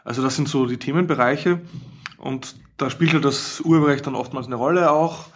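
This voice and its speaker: A man's voice: medium pitch, fairly quick. A man with an Austrian accent, an academic guest explaining his work conversationally in an interview.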